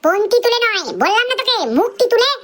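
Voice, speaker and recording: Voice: very high voice, fast talker, a bit bright. A performer voicing a scolding, exasperated mother who nags her little boy in a Bengali comedy cartoon. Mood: stern. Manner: bossy, scolding, nagging.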